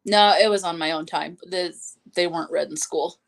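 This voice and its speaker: A woman with a slightly low voice co-hosts a relaxed book chat. She talks reflectively and a little tentatively, working through her thoughts in an everyday conversational style. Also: normal speed, gravelly, low-quality recording. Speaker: co-host. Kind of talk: casual book discussion on a live stream.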